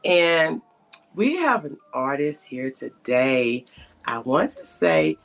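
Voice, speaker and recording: A woman's voice: rumbling low, very animated, slow, soft background music. A woman hosting a casual interview, often rephrasing her questions as she goes. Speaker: host.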